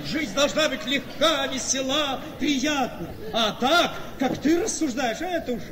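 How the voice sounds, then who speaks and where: Very high-pitched manipulative husband, radio play. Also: male voice, measured pace. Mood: breezy persuading. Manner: coaxing, melodramatic. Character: manipulative handsome husband, self-pitying debt-ridden gentleman. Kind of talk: Russian radio play acting, theatrical. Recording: reverberant studio radio play with noise.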